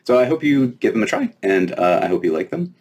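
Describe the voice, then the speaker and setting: A man with a low voice reads a children's story aloud as its author. The tone is a little husky, and the delivery is expressive storybook narration. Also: fairly quick. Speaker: author reading from own book.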